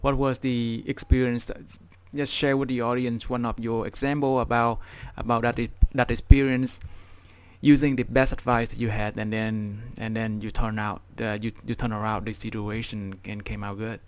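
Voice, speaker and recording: A man hosting an interview, asking questions with halting restarts. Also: medium pitch, level tone, clear, resonant tone. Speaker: interview host.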